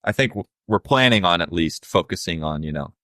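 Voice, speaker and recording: A young adult man with a low voice, a musician guest talking casually and reflectively in an interview, with many fillers. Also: animated, brisk, fairly bright tone, no echo, clean studio sound.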